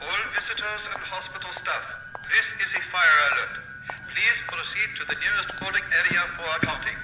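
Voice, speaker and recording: A man's voice: high-pitched, fairly quick. A man playing an official hospital announcer giving a formal alert over a public-address speaker. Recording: echoing noisy hospital tannoy.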